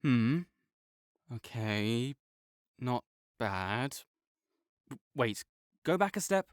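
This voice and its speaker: A man's voice: medium pitch, sing-song, very slow, clean tone, no echo, clean studio sound. A young man voicing a competitive male student rival who teases and bosses, then turns flustered and defensive. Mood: bossy. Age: young adult. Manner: teasing and bossy, gets flustered and defensive. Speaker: voice actor in an audio roleplay. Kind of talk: audio roleplay acting.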